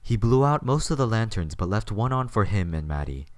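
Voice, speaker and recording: Deep-voiced man narrating, very dry sound. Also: big pitch swings, brisk, no echo. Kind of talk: scripted story narration.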